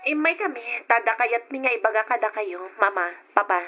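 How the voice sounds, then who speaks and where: A grown daughter in an old radio play, eager and pleading, voiced by a woman. Her voice is high and bouncy in pitch. The sound is dull and lo-fi, as from an old radio recording. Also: expressive, a little fast, music in the background, natural voice.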